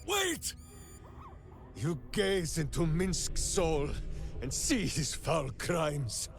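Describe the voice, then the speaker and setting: An actor playing a hearty, simple-minded heroic warrior in a video game, speaking with theatrical, earnest grandeur. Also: male voice, a little high, huge pitch swings, very slow, gravelly, full character voice. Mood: grave, contrite. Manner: grandiose, earnest third-person speech. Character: hearty, devoted, simple-minded heroic warrior man with beloved pet hamster. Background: game music.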